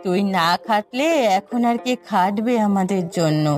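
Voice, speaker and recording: A woman's voice: mid-range voice, measured pace, darkish tone, soft background music, natural voice. A woman voicing a doting, proud elderly village grandmother in a moral cartoon story. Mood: tender, proud. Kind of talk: Bengali moral cartoon dubbing.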